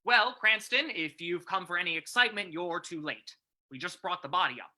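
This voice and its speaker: A man playing a gruff, fussing police commissioner in an old-time radio reading. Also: high voice, fairly quick, sharp tone. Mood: brisk.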